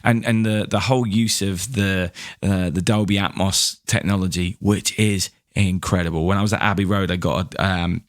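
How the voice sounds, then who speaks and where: A man's voice: low-pitched, steady tone, normal speed, few pauses, dry recording, studio quality. A man hosting a podcast in a casual, chatty style. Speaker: podcast host. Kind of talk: casual chat.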